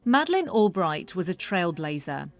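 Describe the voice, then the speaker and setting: A woman's voice: lowish voice, big pitch swings, normal speed. A woman, a news correspondent reading a scripted report.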